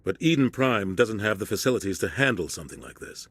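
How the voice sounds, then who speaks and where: A deep-voiced steady starship captain. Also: male voice, fast talker, no echo. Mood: earnest. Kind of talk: video game cutscene acting.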